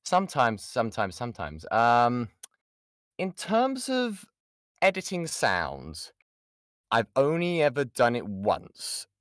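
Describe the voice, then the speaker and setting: A man's voice: medium pitch, wildly swooping pitch, slow pace, fairly bright tone, no room sound, clean studio sound. A British man, a voice actor, giving an informal online workshop in a chatty way, breaking off and repeating key points for emphasis. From United Kingdom. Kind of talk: informal online lesson with audience questions. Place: indoors, online voice chat.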